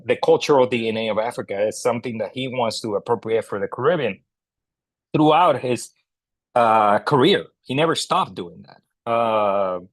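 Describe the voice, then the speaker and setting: A man's voice: medium pitch, no echo. A man speaking as a literary historian at an online book club, casual and digressive, with many fillers and restarts. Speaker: translator and scholar guest.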